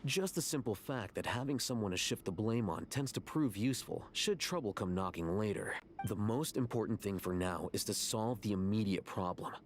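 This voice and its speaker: Low, composed male scholar played by an actor. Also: animated, normal speed, hardly any pauses, slight background noise, close to an everyday voice. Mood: analytical. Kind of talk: video game voice acting.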